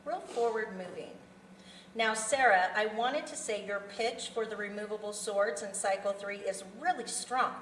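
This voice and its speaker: A woman's voice: medium pitch, normal speed, fairly bright tone, lightly acted. A woman playing an older, bossy and chatty serial killer mastermind at a live table read, with a businesslike managerial manner. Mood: businesslike, wry. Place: festival venue room. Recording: roomy, distant mic, faint noise.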